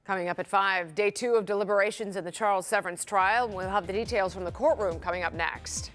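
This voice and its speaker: A woman's voice: medium pitch, a little fast, a bit bright, soft background music. A woman with an American accent reads scripted television news as an anchor.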